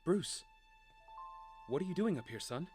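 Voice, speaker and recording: A man's voice: fairly high pitch, animated, a little slow, darkish tone, faint music behind. An actor playing an earnest family man and father in an audio drama, with a conversational manner. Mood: fatherly.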